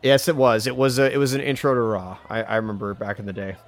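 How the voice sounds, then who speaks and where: A man co-hosting a podcast in casual banter, with a halting, stammering delivery. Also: medium pitch, little pitch movement, soft background music. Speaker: podcast co-host.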